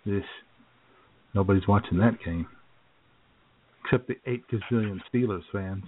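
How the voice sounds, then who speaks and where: A man's voice: fairly low pitch, moderate pace. A man hosting a radio show in casual, conversational chat. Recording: narrow tinny phone-like sound.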